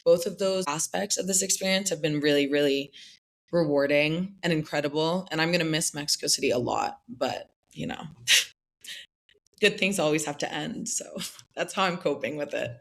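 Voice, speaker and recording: A young woman from the United States answers interview questions about her life. She speaks in a somewhat low voice. She talks reflectively and fills her pauses with "like.". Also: vocal fry. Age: young adult. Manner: thoughtful, many "like" fillers, light laughs.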